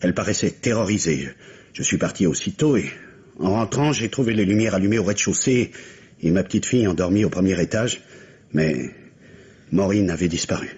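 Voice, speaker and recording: A man's voice: deep voice, lively intonation, a little fast, darkish tone, breathy edge. An actor playing an anxious, devoted husband, a businessman, urgent and hesitant, in the manner of old French radio mystery drama. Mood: distraught, urgent. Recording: muffled, indistinct old radio recording.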